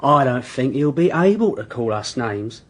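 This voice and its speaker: Husky, menacing London thug. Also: male voice, mid-range voice, very animated, moderate pace. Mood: mocking menace. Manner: mocking, taunting rhetorical questions. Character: menacing raw-boned male thug built like a prizefighter. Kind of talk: radio drama acting.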